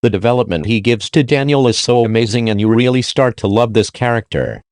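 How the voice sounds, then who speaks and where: A man's voice: medium pitch, expressive, fairly quick, a little mellow, no echo, studio quality. A man hosting and narrating a scripted countdown review in an informal, slangy way. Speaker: video host and reviewer.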